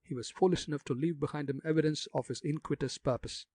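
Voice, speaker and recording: A man's voice: a little high, fast, a little mellow, no room sound, lightly acted. A man voicing a pompous, theatrical fat male rogue who likes Latin phrases. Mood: grandiose. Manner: grandiose, theatrical.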